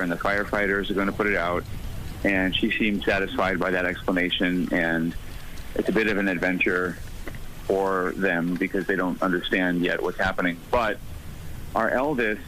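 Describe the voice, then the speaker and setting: A middle-aged man giving a measured, articulate eyewitness account in a live news interview. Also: lowish voice, a bit bright, slight background noise, low-quality recording. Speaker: interviewed journalist and evacuated resident.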